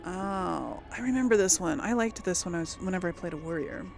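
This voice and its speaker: A woman's voice: lowish voice, expressive, a little fast, creaky, a little mellow, faint music behind. A woman hosting a gaming video, giving casual commentary and thinking aloud informally as she plays.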